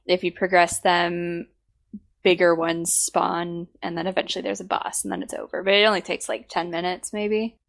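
A woman co-hosting a casual podcast, her voice worn out by a sore throat, talking in halting bursts with many fillers. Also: lowish voice, level tone, gravelly. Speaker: podcast co-host.

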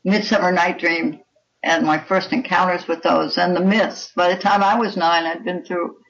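A woman's voice: lowish voice, fairly even intonation, moderate pace, fairly bright tone, a little hiss or hum. An older woman speaking conversationally as an author guest, emphatic and full of firm opinions. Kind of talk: conversational interview. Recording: muffled, distant microphone.

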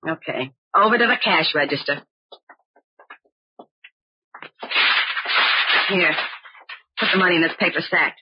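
Casting: a scheming, bossy gun moll in an old radio thriller, strongly raspy-husky, snapping short orders, muffled recording. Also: female voice, low-pitched, lively intonation, a little fast, full character voice. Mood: menacing, curt. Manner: curt, commanding, slangy. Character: hard-boiled, bossy female stick-up artist, scheming and cool. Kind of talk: 1940s radio crime drama acting.

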